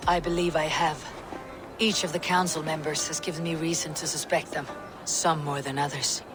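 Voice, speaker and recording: A woman's voice: deep voice, level tone, moderate pace, music in the background, natural voice. An actress playing a resolute, vengeful Viking warrior in a video game, with blunt, terse delivery and noisy ambience behind her. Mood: grave. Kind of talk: video game cutscene acting.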